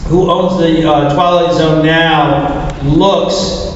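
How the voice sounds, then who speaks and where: A man giving a halting, deliberate live talk as a panel speaker, recorded in a large room. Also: a little high, fairly even intonation. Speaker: panel speaker taking audience questions. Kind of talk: live talk with question and answer. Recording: echoey hall, distant muffled mic, faint noise.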